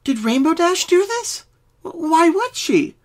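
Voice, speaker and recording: Man putting on a very high, naive girl pony. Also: big pitch swings, measured pace, exaggerated voice. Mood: confused, uneasy. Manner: wondering, tentative. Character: naive, anxious young female cartoon pony.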